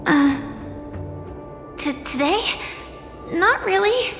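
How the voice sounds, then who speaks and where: A young woman voicing an earnest, soft-spoken game heroine, wary yet resolute. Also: extremely high pitch, lively intonation, extremely slow, creaky, full character voice. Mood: flustered. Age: young adult. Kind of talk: video game cutscene voice acting. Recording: long echoing reverb, game music behind.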